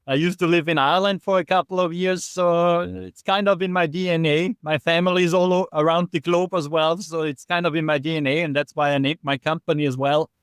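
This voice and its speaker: Man, 40s, podcast guest, earnest explainer, crisp dry high voice. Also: fairly even intonation, a little fast, few pauses, no room sound. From Austria. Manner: earnest, explanatory, with frequent restarts and "right?" tags. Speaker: podcast guest, app developer. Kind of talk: casual interview chat.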